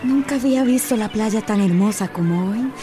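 A woman's voice: medium pitch, moderate pace, music in the background, lightly acted. An actress playing a tender, playful, affectionate woman in a radio soap opera. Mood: wonder.

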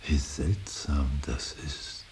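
An actor playing an older, grieving father in a radio drama, brooding and solemn, with halting, weighty declamation that often sinks into breathy whispers. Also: extremely low pitch, darkish tone. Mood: hushed, musing. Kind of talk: German radio drama acting, solemn monologue. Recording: hissy, faintly noisy radio recording.

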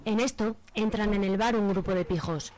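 A woman's voice: mid-range voice, normal speed. A woman with a Spanish accent giving scripted narration as the narrator of a radio soap.

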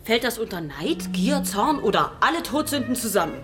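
A woman's voice: on the high side, sing-song, normal speed, fairly bright tone. A sardonic female detective, music behind. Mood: dry. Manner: dry, ironic, challenging. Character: sardonic, sharp female police detective, exasperated. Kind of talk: naturalistic radio drama acting.

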